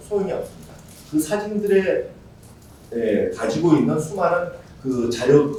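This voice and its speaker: A man's voice: mid-range voice, a little mellow. Man speaking far off in an echoey hall. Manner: earnest, measured, with many fillers and restarts. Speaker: conference discussant. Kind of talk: formal panel discussion remarks. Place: large conference hall. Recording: very echoey hall, voice from far off, faint room hum.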